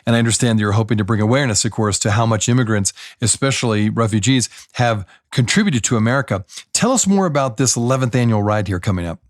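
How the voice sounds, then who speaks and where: A man talks fast as he hosts a podcast interview. His voice is close and dry, with no room sound. He asks a long, smooth question to lead his guest in. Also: medium pitch, dry recording. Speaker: podcast host.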